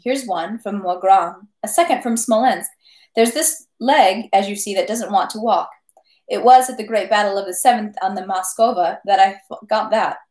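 A woman's voice: medium pitch, roomy sound, natural voice. A woman voicing a merry, good-natured French army captain who brags ceaselessly about his battles. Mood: boastful. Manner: lively, talks ceaselessly, good-natured bragging. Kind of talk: audiobook character reading.

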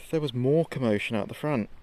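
An actor playing a frightened late-night radio DJ giving a halting, haunted field report in a horror audio drama. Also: male voice, mid-range voice, lightly acted. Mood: anxious. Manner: halting, hesitant, haunted delivery. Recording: noisy outdoor field recording with ambience.

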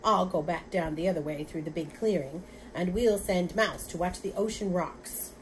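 A woman's voice: fairly low pitch, moderate pace, natural voice, rough recording. Woman playing a bossy, suspicious wild boar. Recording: clear background noise.

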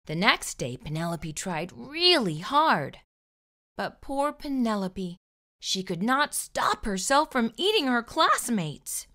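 A woman narrates a picture book for young children, with a lively swing in pitch and a bright voice. Her storytelling is expressive and deliberate. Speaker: storybook read-aloud host.

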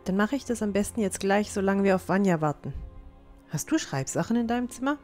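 A gentle teenage girl, acted by a woman, in a fantasy audio drama. Her voice is mellow and soft-spoken, earnest and resolute, with faint music underneath. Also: mid-range voice, animated, a little fast, lightly acted. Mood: earnest, hopeful.

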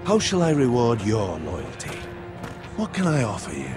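An actor playing a proud middle-aged medieval lord in a fantasy game, speaking in a measured, formal, commanding way. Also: male voice, mid-range voice, wildly swooping pitch. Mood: calm, fatherly. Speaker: video game character actor. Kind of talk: cinematic fantasy game dialogue. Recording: game music behind the voice.

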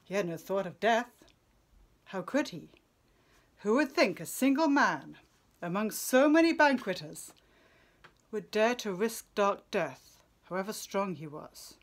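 Slow, expressive woman reciting epic verse. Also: mid-range voice. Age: middle-aged. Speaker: translator reading own work. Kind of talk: dramatic literary recitation. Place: small plain room indoors.

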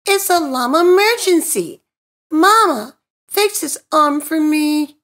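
A woman's voice: sits high, animated, slow pace, fairly bright tone, voice pushed up, exaggerated voice. A woman voicing a fretful, possessive young llama boy in a children's storybook, whining dramatically. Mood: upset, indignant. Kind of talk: children's storybook character reading.

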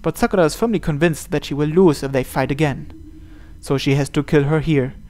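A man's voice: a little high, brisk, a little mellow. A man narrating a visual novel aloud as host, reading the scripted text.

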